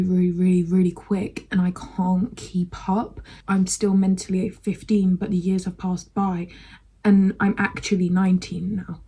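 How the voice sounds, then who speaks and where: Young British woman, 19, mellow breathy voice, frank rambling vlogger who swears freely. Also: mid-range voice. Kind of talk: casual to-camera chat.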